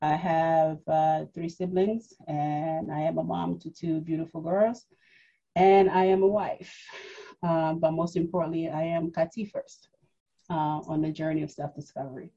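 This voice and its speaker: A woman's voice: deep voice, flat delivery, unhurried, warm tone, slightly rough, dull audio. A woman in her forties from Congo, raised in New York, telling her personal story as a podcast guest, reflective, with many fillers and restarts. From Democratic Republic of the Congo, raised in New York. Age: 40s.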